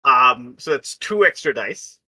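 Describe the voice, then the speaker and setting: A man's voice: mid-range voice, lively intonation, a little slow, sharp tone, husky, rough recording. A man playing a tabletop roleplaying game, talking casually and reasoning aloud as he goes.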